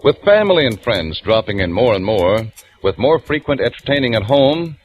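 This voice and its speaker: A man's voice: mid-range voice, sing-song, normal speed. Man reading an old radio ad. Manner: smooth persuasive announcer delivery. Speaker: announcer. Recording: dull old radio broadcast.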